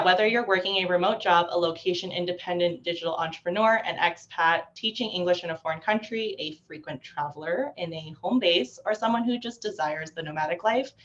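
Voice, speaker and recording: Low-voiced woman hosting a video call panel. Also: muffled recording. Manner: friendly, laughs often. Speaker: panel host. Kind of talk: moderating an online panel.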